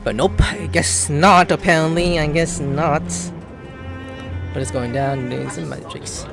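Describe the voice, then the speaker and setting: A woman's voice: low-pitched, unhurried, vocal fry. A woman hosting a gameplay video with casual, slangy, rambling commentary, reacting as she plays. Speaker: gaming video host. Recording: game music behind voice.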